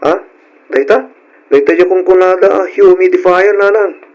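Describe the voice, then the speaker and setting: A rather high-voiced young husband played by a man talks eagerly and earnestly. His coaxing, agreeable delivery belongs to an old radio drama, and the sound is dull. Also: moderate pace, a little mellow, lightly acted.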